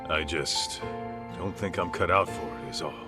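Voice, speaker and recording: Laid-back private eye, deep, expressive, blunt colloquial delivery, game cutscene, background music. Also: male voice, moderate pace. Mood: reluctant, firm. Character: laid-back, blunt, street-smart male private detective. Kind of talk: dubbed video game cutscene acting.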